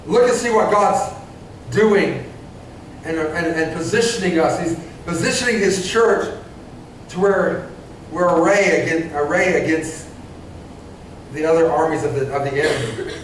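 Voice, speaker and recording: A man in his forties preaches from the pulpit in a high, conversational voice, stumbling into restarts and checking in with his listeners. He sounds distant, in a strongly echoing church. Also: slight background noise. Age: 40s. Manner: halting, many restarts and stammered repeats; conversational, asks the congregation questions. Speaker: pastor. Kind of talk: sermon. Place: church sanctuary. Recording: very echoey church, voice from far off.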